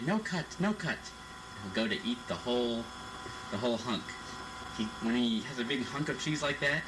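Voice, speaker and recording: Man chatting casually on noisy tape. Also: mid-range voice. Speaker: father telling a family anecdote. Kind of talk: casual chat. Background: clear background noise.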